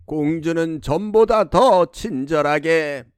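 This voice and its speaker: A man's voice: a little high, slow, smooth, dry recording. A man narrating a scripted fairy tale as a storyteller.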